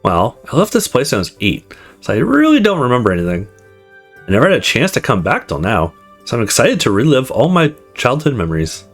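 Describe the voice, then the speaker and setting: Easygoing, teasing young male lead voiced by a streamer for a visual novel, expressive pitch, faint music. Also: brisk. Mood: teasing, reassuring. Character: calm, teasing, reassuring young man, protagonist.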